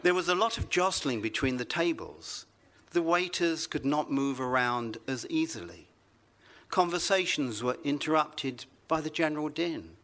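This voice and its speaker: A man reading his own literary story aloud to a live festival audience, measured and wry. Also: on the high side, lively intonation, a bit bright.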